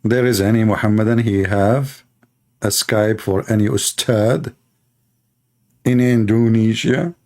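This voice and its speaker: A man's voice: fairly low pitch, measured pace, pauses often, a little mellow. A man hosting a livestream debate, talking in a sarcastic, mocking, rambling way with lots of fillers.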